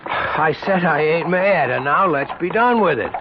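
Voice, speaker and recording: Blunt, animated rural American. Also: male voice, a little high, unhurried. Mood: exasperated, firm. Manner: firm, folksy, blunt. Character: exasperated, responsible American countryman minding younger companion. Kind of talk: old-time radio drama acting. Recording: noisy, muffled vintage radio recording.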